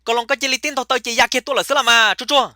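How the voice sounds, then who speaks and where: A man's voice: very high-pitched, steady tone, rapid-fire. A man voicing a talkative male character in a comic cartoon. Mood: chatty. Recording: clear background noise.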